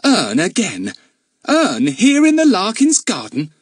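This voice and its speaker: A man's voice: fairly high pitch, big pitch swings, unhurried, no echo, clean studio sound, pitched above the performer's own voice, heavily acted. A man voicing a burly, bullying village policeman who blusters and bellows orders at a boy. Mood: outraged. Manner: loud, blustering, commanding.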